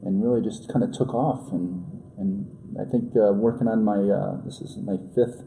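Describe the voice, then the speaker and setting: A man in his forties from New York, a podcast guest who talks in a halting, casual way full of fillers and restarts. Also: on the low side, steady tone, gravelly, warm tone, rough recording. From New York, USA. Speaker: guest entrepreneur.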